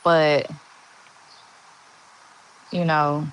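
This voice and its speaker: A woman in her forties with a monotone voice, chatting slowly and candidly on a livestream and answering viewers' comments. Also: low voice, clean tone, poor audio. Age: 40s. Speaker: livestream host. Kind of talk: casual live chat answering viewer comments.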